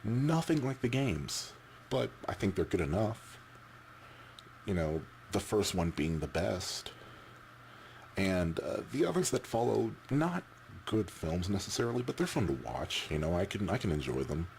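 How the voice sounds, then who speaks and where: Male vlogger, deep voice, halting unscripted chat with repeated words, noisy camera-mic recording. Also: big pitch swings, creaky, darkish tone. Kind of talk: casual off-the-cuff opinion chat.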